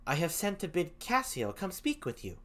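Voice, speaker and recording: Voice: mid-range voice, huge pitch swings, normal speed, fairly bright tone. A reader voicing Desdemona as an earnest, pleading young noblewoman in a play read aloud.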